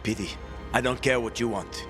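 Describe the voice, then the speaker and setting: A man's voice: mid-range voice, moderate pace, close to an everyday voice. An actor playing a cynical, bitter man cornered in a zombie apocalypse, curt and sardonic, in a video game cutscene. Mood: cold, menacing. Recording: music under the voice.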